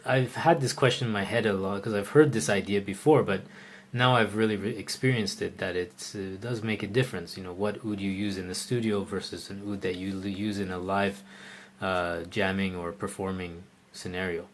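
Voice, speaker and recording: A man with a fairly low voice gives a live oud lesson, chatting through viewers' questions. He thinks out loud, stopping to restart sentences and filling pauses with ums and uhs. A faint hiss sits under his voice. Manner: thinks aloud with frequent fillers and restarts; relaxed, conversational. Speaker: oud teacher.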